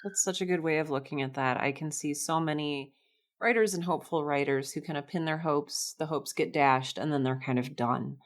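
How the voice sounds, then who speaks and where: Lady podcast host, really low voice for a woman, casual chat, reflective and affirming. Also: brisk.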